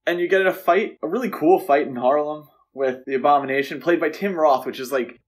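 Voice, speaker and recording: A man's voice: a little high, a little fast. A man co-hosting a podcast, discussing films in a casual, conversational and opinionated way. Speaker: podcast co-host.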